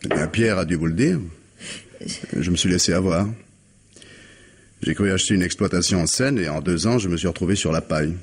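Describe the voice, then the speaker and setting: A troubled man near forty in a radio mystery, his voice low and husky. He speaks with reserved courtesy, hesitant and guarded. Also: fairly quick, long pauses. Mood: weary, resigned. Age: about 40. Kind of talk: French mystery radio drama acting.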